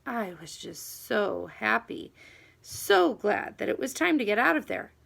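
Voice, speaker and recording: Female storybook narrator, lively and expressive, swooping intonation, very gravelly fry in the voice. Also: mid-range voice, measured pace. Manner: animated, dramatic emphasis on key words. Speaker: audiobook reader. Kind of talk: expressive children's book narration.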